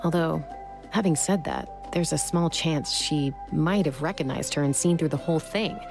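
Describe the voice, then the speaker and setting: A woman's voice: low-pitched, moderate pace. A woman playing a cool, composed young warrior in a video game, speaking in a laid-back, assured way. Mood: reassuring. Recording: music behind the voice.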